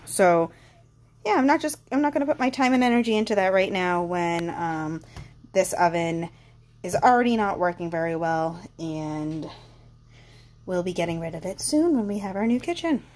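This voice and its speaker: A woman vlogging casually as she cooks at home, chatty and relaxed with frequent ums, her voice a little congested. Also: on the low side, moderate pace, a little hiss or hum. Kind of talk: casual kitchen vlog narration. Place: home kitchen.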